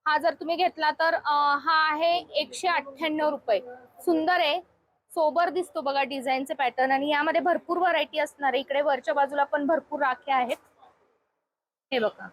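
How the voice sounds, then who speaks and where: A woman's voice: sits high, steady tone, moderate pace, fairly bright tone, poor audio. A woman hosting a casual shopping vlog, chattily explaining things to viewers inside a shop. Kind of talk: casual shop tour presentation.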